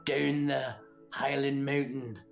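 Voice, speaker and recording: A man's voice: medium pitch, flat delivery, takes it slowly, creaky, faint music behind. Rambling male player, dull lo-fi sound. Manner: rambling, casual, hesitant. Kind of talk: describing his character in a tabletop game.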